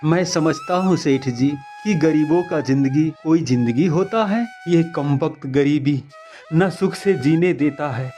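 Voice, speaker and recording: A man playing a poor, long-suffering household servant in an animated moral story, deferential and pleading. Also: a little high, unhurried, faint music behind, close to an everyday voice. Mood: humble, pleading.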